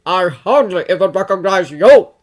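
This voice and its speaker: A woman voicing a gruff, hot-tempered middle-aged shop owner who barks his words bluntly. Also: high voice, animated, moderate pace.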